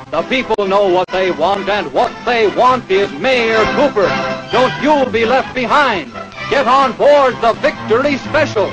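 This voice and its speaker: A man's voice: sits high, rough recording. An actor playing a rousing 1940s campaign film announcer, emphatic and slogan-driven as he urges voters on. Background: music.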